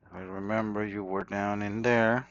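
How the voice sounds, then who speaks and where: Gravelly Costa Rican repairman muttering. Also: lowish voice, fairly even intonation, normal speed, a bit bright, no echo. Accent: Costa Rican Spanish. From Costa Rica. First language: Spanish. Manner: muttering to himself while working, informal. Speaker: electronics repair technician. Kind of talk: thinking aloud during a hands-on repair tutorial. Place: repair workbench indoors. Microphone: action camera mic. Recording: dull lo-fi action camera audio.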